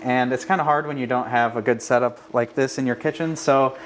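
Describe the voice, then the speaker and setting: A man working at a bakery, explaining the menu in a steady way with many ums and uhs. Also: medium pitch, a little fast, slight background noise. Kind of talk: casual explanation.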